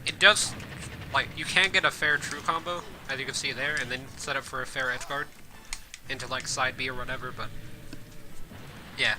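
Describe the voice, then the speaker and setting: A man giving casual gameplay commentary as a streamer, rambling with fillers and self-corrections, his voice a bit hoarse. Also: medium pitch, fairly even intonation, moderate pace, long pauses, background noise. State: hoarse from coughing. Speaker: streamer and game guide host. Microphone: desk mic.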